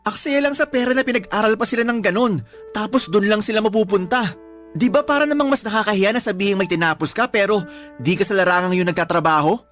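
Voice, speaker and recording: A man's voice: sits high, fast, a bit bright, faint music behind. A man playing a sullen, stubborn twenty-year-old son who argues back in a radio drama. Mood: argumentative. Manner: whiny, argumentative.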